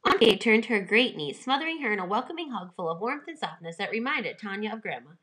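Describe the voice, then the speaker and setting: Female storyteller, medium-pitched, expressive narration for a children's picture book. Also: quick pace.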